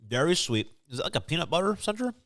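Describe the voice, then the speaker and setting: A man co-hosting a podcast, chatting casually and musing out loud as he tastes snacks, in an upbeat, chatty way. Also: on the high side, sing-song, fast talker, no echo. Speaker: podcast co-host. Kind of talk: casual snack-tasting chat.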